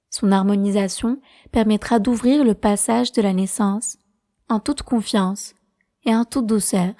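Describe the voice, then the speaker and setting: A woman leading a guided prenatal meditation as a teacher, speaking in a calm, measured, soothing way. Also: medium pitch.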